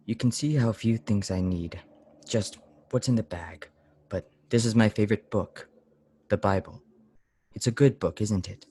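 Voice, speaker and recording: A man playing a strange, pious and weary lodger in a radio play, solemn, deliberate and brusque. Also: on the low side, dark tone, a little hiss or hum, natural voice. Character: strange, weary, severe pious lodger, brooding and easily angered. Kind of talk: old-time radio play acting.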